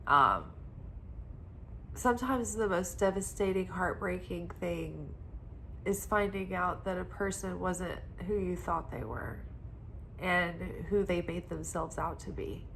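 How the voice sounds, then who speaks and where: A woman giving a weekly tarot reading in a soft, meandering, spiritual way, her voice stuffed up and congested from crying. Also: on the low side, slow pace, a little hiss or hum, low-quality recording. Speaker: tarot reader.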